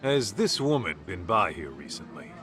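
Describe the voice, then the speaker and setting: A middle-aged man with an American accent playing an easygoing, streetwise tough-guy detective in a dubbed video game, talking casually and gruffly. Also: low voice, sing-song, moderate pace, fairly bright tone. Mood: businesslike. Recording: music behind the voice.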